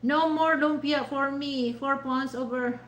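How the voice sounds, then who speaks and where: A woman's voice: high-pitched, fairly even intonation, a little slow, fairly bright tone, reverberant. A woman from the Philippines with a Filipino accent, chatting casually on a livestream while eating dinner, rambling with many "you know" fillers. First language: Mandaya. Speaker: livestream host.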